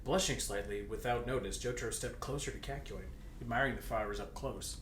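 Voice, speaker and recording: A man's voice: medium pitch, brisk, fairly bright tone. A young man reading a story aloud in a jokey way, breaking into banter, with a giggly, stammering, playfully dramatic delivery. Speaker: co-host reading fan fiction aloud. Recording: roomy echo, clear background noise.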